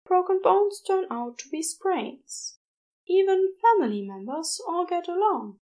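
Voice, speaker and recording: A woman's voice: extremely high pitch, big pitch swings, unhurried, a little mellow, pitched above the performer's own voice, heavily acted. A woman voicing a fond, gossipy nurse in an audiobook story, confiding with dramatic emphasis. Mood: fond, admiring. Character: fond, gossipy nurse praising a beloved colleague.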